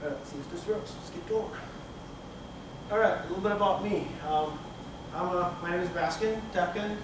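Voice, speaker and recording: A middle-aged man from Turkey talks through his slides at a tech conference, pausing often and restarting his sentences. His voice sits a bit high and comes from across the room. Heavy reverb and steady room noise surround him. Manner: halting, many fillers and restarts. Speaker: conference speaker. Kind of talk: technical conference talk. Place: conference room with projector screen. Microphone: room camera from the back of the hall. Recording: echoey hall, speaker far from mic, room noise.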